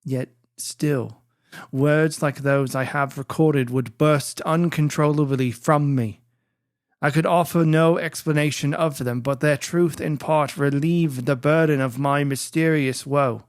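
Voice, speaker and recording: Measured narrator with a slow, dry-recorded delivery. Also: mid-range voice, fairly even intonation, dry recording. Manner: formal, measured, literary delivery. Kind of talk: scripted dramatic audiobook narration.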